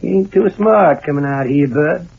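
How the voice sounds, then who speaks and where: An actor playing a surly, folksy butler who gives gruff warnings in an old-time radio drama. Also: male voice, on the high side, a little slow, background noise. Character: surly, warning butler of a gloomy country mansion. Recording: tinny, muffled vintage radio recording.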